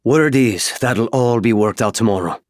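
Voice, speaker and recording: A man voicing an excitable, rattled male pilot with an eager manner in an audiobook. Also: mid-range voice, normal speed, no room sound, clean studio sound, close to an everyday voice. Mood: grim, urgent. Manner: animated, eager. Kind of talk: audiobook character dialogue.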